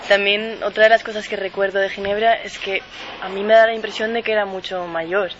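A woman's voice: medium pitch, muffled recording, slight background noise. Chatty young woman hosting radio. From Spain. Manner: relaxed, chatty, with quick agreeing murmurs. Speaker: radio host. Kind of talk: casual radio interview.

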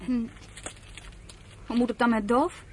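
High-voiced, hesitant young girl played by an actress. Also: steady tone, natural voice. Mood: sad, resigned. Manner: hesitant, soft-hearted, halting. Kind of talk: radio drama acting. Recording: noisy old radio play recording.

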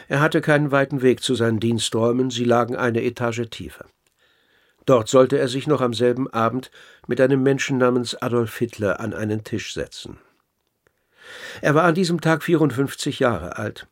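An actor playing a courteous, inquisitive writer who narrates a radio play and talks with the dead in a measured, polite way. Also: male voice, mid-range voice, close to an everyday voice.

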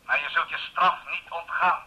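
Dreigende beller met scherpe stem. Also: male voice, fairly high pitch, steady tone, a little fast, sharp tone, lo-fi sound. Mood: cold, accusing. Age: middle-aged. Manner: formal, accusing, carefully phrased. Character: menacing, righteous middle-aged male anonymous caller on tape. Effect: telephone message played from tape. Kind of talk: radio play acting.

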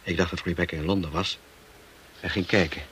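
A man acting a tormented, bitter husband in a Dutch radio play, speaking gravely with dramatic pauses. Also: deep voice, fairly even intonation, fairly bright tone. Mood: bitter, haunted. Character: tormented, bitter husband confessing to his young wife. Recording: noisy old radio play recording.

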